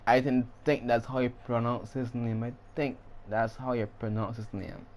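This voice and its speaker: A man's voice: mid-range voice, level tone, normal speed, a little mellow, a little hiss or hum. A man giving casual, off-the-cuff commentary as he reacts to a video game. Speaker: gamer commenting on cutscenes.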